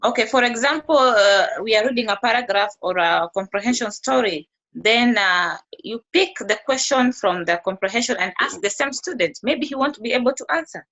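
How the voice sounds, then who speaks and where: A woman's voice: a little high, animated, moderate pace, hardly any pauses, a bit bright, highs cut off. A woman teacher speaking hesitantly with fillers in an online discussion over a video call. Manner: hesitant, gives examples.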